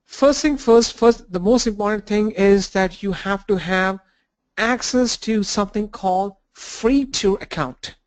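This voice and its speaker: Stuffy-nosed man teaching a class. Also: high-pitched. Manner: halting, restarts and fillers, walks through screens. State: blocked nose from a cold. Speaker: instructor. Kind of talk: live online training lesson.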